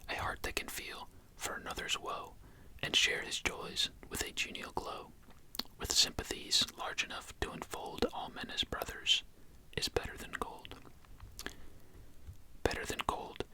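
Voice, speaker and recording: Man whispering softly, ASMR style. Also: very high-pitched, rough recording. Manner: soft, unhurried whisper with casual phrasing. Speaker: host of an ASMR video channel.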